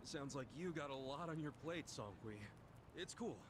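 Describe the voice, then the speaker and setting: An actor playing a big-hearted, stubbornly loyal middle-aged ex-convict in a video game drama, speaking in an earnest, informal, rough-edged way. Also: male voice, a little high, lively intonation, moderate pace, a little hiss or hum, lightly acted. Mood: upbeat. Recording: game music behind the voice.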